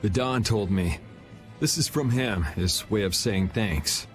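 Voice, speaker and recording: A cool young rebel from a video game. He speaks in a lowish voice with a dry, sardonic, offhand delivery, over soft music. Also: slow. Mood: casual, wry. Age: young adult. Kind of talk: dramatic video game voice acting. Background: background music.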